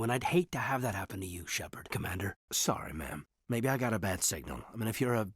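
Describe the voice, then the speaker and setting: A reserved, dutiful military man in a video game. His voice is husky and sits at a middling pitch, moving up and down expressively as he talks in a sincere, measured way. The recording is close and dry. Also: no room sound. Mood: earnest, cautioning. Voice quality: slightly husky. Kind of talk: naturalistic video game character dialogue.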